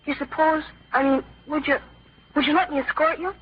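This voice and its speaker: A man's voice: very high voice, steady tone, fairly quick, noisy background. A young male actor playing an earnest, bashful, hesitant thirteen-year-old boy in an old-time radio drama. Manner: hesitant, eager. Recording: dull old radio recording.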